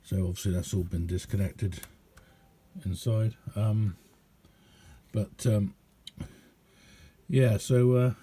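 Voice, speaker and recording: A man giving a casual, rambling hands-on demonstration as a radio hobbyist, chatty and hesitant in an unhurried way. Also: fairly low pitch, dark tone, slight background noise.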